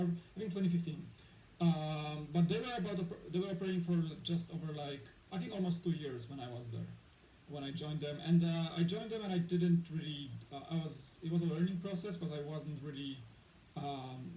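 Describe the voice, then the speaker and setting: A man's voice: fairly high pitch, warm tone. A man in his thirties from Poland, a guest in a casual interview, rambling with many fillers and restarts. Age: 30s. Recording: echoey room with faint noise.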